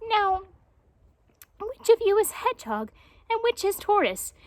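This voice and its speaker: A woman's voice: very high-pitched, sing-song, measured pace, dry recording, pitched above the performer's own voice, exaggerated voice. A woman voicing an earnest, easily puzzled young jaguar in a children's storybook, with an emphatic, childlike, petulant manner. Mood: earnest, self-important.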